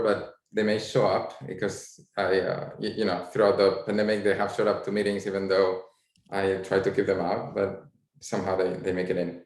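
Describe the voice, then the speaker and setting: A man from Spain with a Spanish accent, introducing himself on a video call panel, hesitant and full of fillers. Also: on the low side. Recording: echoey room.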